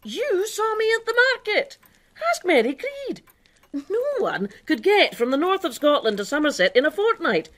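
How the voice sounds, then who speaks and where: A woman's voice: sits high, big pitch swings, fairly bright tone, no room sound. An actress playing a defiant, cheeky woman quack doctor who lives as a man, with wry, knowing delivery in a radio drama. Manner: wry, knowing storytelling, quick retorts. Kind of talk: radio drama acting and first-person narration.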